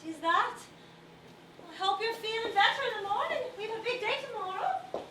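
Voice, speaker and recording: Woman playing a very high-voiced, sweet attentive wife. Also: lively intonation, moderate pace, pushed and bright, heavily acted. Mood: cheerful, caring. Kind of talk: stage musical acting. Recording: roomy, distant stage recording, faint noise.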